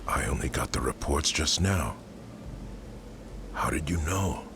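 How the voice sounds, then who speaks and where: A dour, wary soldier speaks in an extremely low, gravelly voice. He sounds grave and sceptical yet loyal, a video game character with clear noise behind him. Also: lively intonation, measured pace, exaggerated voice. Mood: concerned.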